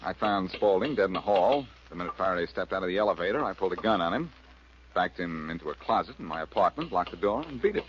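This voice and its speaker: An actor playing a cool, quick-witted reformed crook turned sleuth in a 1940s radio drama, wry and glib. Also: male voice, deep voice, expressive. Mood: confident. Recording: muffled, hissy old radio recording.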